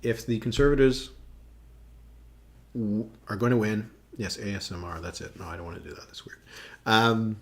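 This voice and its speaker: Guy on a livestream, lower voice, casual and rambly, lots of ums while he talks numbers. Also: fairly even intonation, moderate pace. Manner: musing, chatty, thinks aloud with many fillers. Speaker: political analyst hosting a livestream. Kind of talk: casual live analysis reacting to chat.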